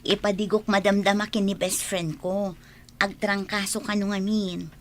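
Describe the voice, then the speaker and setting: An actress with an Ilocano accent playing a caring, dutiful young woman and loyal friend in a radio drama, speaking gently. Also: mid-range voice, level tone, normal speed, slight background noise, lightly acted. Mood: polite.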